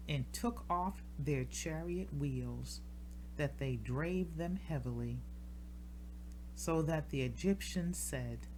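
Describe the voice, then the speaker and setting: A woman reads scripture aloud in a solemn, measured style, pausing deliberately between short phrases. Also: sits low, mellow, slight background noise, poor audio. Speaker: scripture reader. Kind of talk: solemn scripture reading from the King James Bible.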